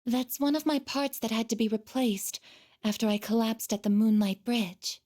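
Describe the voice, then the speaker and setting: A woman voicing a gentle, earnest female android in a video game, speaking softly and hesitantly with shy devotion. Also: on the high side, lightly acted. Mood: hesitant, sincere. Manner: soft, hesitant, deliberate, tender. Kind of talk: video game voice acting, emotional dialogue.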